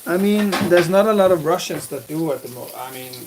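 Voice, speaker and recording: A man's voice: a little high, animated, fairly quick. A man with a Danish accent, a streamer chatting casually with viewers in a rambling way while he cooks, recorded on a phone. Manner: rambling, answers chat directly, many restarts. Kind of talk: casual live-stream chat. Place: home kitchen while cooking. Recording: loud kitchen noise from frying and tap. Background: frying, sizzling and a running tap.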